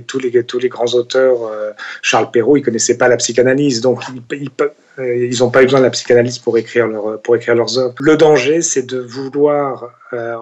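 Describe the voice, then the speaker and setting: A man answering spontaneously in an interview as a guest, often restarting his phrases. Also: mid-range voice. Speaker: writer, interviewee. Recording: slightly dull sound.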